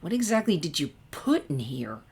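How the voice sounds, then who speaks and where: A woman's voice: low voice, very animated, normal speed, gravelly, a little mellow, slightly rough, heavily acted. A woman voicing a guarded but kind teenage girl with a casual, wry, understated manner in a story reading.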